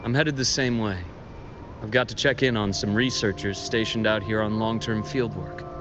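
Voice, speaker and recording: A man playing an earnest, seasoned frontier guide and scholar in a video game, speaking in a measured, explanatory and reflective way. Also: fairly low pitch, steady tone, music in the background, close to an everyday voice. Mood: friendly, offering. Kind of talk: video game story dialogue.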